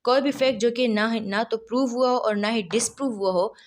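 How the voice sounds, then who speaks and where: A woman's voice: a little high, normal speed. Pakistani woman lecturing in a didactic style. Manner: didactic, asks questions and answers them herself. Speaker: law lecturer. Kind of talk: explanatory lecture.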